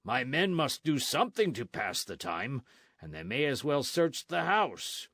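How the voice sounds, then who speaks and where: In a dry, close recording, a blustering army sergeant barks his demands, loud and rough, as a man reads him. His questions come out pushed and lively. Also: mid-range voice, measured pace, no echo, voice pushed up, heavily acted.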